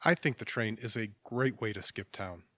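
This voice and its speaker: An actor playing a mild, kindly businessman in a naturalistic audio drama, talking in a gentle, measured, slightly hesitant way. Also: fairly low pitch, dry recording. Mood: patient, sympathetic. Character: mild, settled, kindly businessman, a patient listener.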